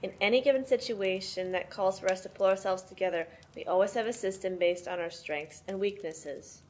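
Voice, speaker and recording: A woman's voice: medium pitch, brisk, a little mellow, lightly acted. A woman playing a tough, protective sister who mothers her brothers, explaining things matter-of-factly in an amateur audio play. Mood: explanatory. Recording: noisy amateur home recording.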